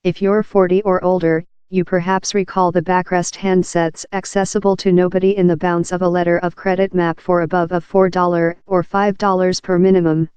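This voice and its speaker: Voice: medium pitch, flat delivery, normal speed, hardly any pauses, darkish tone, clean tone, dry recording. A narrator reading a scripted article aloud in an impersonal, uninflected way.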